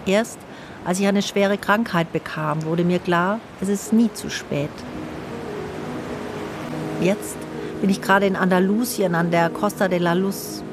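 A woman narrating a radio feature in a calm, personal, questioning way. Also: mid-range voice, unhurried, background noise. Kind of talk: scripted reflective narration. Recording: studio narration over music.

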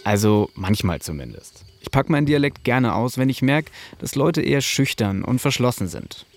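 Creaky-voiced man narrating a podcast. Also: mid-range voice, sing-song, normal speed. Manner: casual, personal storytelling. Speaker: podcast host and reporter.

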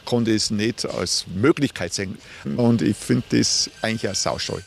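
A man's voice: lowish voice, normal speed, creaky. A man, speaking spontaneously as a mayor in an interview. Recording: faint ambient background noise.

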